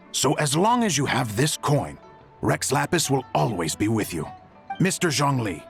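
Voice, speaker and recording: An eager, courteous merchant in an anime-style game. His voice is mid-pitched with a gravelly creak, and it swings in an effusive, animated way. He sounds polite and earnest over faint music. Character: eager, courteous merchant man who admires lucky coins.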